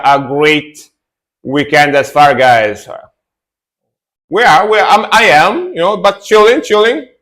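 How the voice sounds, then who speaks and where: A young adult man casually chatting with his stream chat while reacting to metal music, relaxed and talkative. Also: a little high. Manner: relaxed, chatty, answers chat, fillers and restarts.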